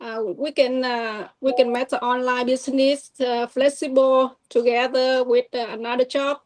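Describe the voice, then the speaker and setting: A woman from Vietnam with a Vietnamese accent, a guest entrepreneur on a live online panel, speaking halting, broken English with many fillers. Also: sits high, flat delivery, a little mellow. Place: home in California.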